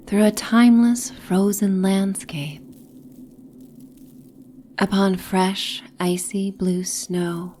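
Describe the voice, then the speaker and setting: A woman with a soft, breathy, near-whispered voice gently narrating a soothing guided sleep meditation and bedtime story. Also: medium pitch, a little hiss or hum. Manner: gentle, soothing, hypnotic, with long pauses.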